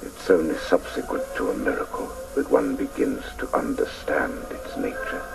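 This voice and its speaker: A grown man haunted by his childhood, telling it in solemn, hesitant first person. The voice is very deep and creaky. The radio recording is a little distant with faint noise. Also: lively intonation, moderate pace, music in the background, full character voice. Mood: reflective. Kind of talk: dramatic first-person narration.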